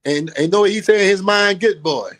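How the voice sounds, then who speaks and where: An emphatic man lecturing with a slightly high-pitched voice. He talks in a preachy way, repeats his phrases for weight and laughs often between points. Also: fairly quick, fairly bright tone. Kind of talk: street-teaching talk.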